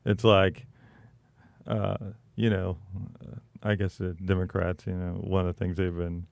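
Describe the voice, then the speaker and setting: A man co-hosting a talk show, speaking haltingly with many fillers and chuckles. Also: low-pitched, level tone, gravelly, a little mellow, no echo. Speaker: co-host. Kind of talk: casual talk show chat.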